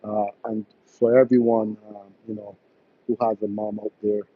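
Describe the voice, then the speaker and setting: A man's voice: on the low side, level tone, moderate pace, breathy edge, no room sound, narrow, tinny audio. A man from Barbados, an electrician hosting a live stream, chatting casually with viewers and full of fillers and restarts. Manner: hesitant, many fillers and restarts.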